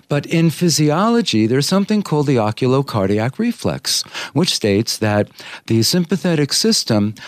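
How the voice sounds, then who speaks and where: Man with Greek as his first language, low voice, measured interview guest, dry sound. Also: no room sound. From Greek family. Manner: measured, explanatory, with many uh fillers. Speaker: yoga teacher and anatomy expert. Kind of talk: interview, explaining at length.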